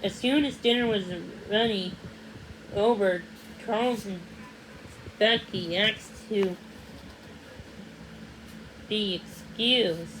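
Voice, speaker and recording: A woman reading a children's storybook aloud as narrator, somewhat haltingly, sometimes repeating or correcting a word. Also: mid-range voice, fairly bright tone, slight background noise, poor audio. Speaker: read-along narrator.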